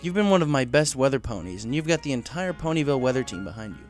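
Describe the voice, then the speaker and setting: A man's voice: medium pitch, very animated, fast talker, soft background music, close to an everyday voice. A man voicing a confident, encouraging male pegasus pony boss in a story, assured and businesslike yet casual. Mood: reassuring.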